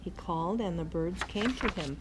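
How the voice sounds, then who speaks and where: An older woman reading a children's bedtime story aloud as a grandmother, in an expressive way, on a noisy recording. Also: fairly low pitch, moderate pace.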